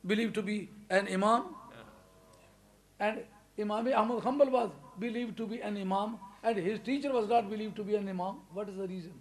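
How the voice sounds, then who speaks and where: High-pitched Pakistani man preaching emphatically. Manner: emphatic, rising to loud stresses, chuckles between points. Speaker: religious preacher. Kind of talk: English sermon to a congregation.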